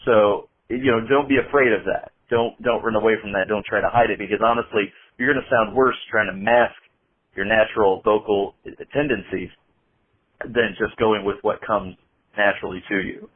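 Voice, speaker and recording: Flat-toned Indiana man over a phone line. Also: lowish voice, moderate pace. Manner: chatty, many restarts and fillers. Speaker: wrestling commentator guest. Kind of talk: casual podcast chat. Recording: phone-line podcast audio.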